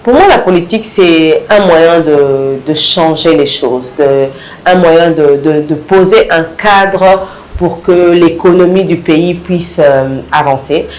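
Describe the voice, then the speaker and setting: A woman, hoarse from a cold, answering interview questions haltingly with many fillers and restarts. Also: deep voice, darkish tone, roomy sound, poor audio. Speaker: guest, party women's league coordinator.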